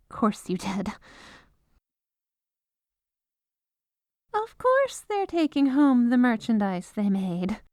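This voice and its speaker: A woman's voice: sits high, no echo, clean studio sound. A woman playing an exasperated, businesslike head lawyer at the North Pole in a scripted comedic audio roleplay. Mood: exasperated, agitated. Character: exasperated, dutiful head legal advisor at Santa's North Pole.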